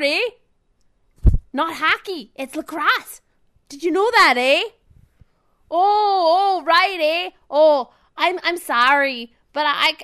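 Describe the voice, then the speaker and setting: A woman's voice: sits high, pushed and bright, exaggerated voice. A woman voicing a meek, rambling Canadian man who knits and loves hockey, apologizing often and tagging phrases with "eh".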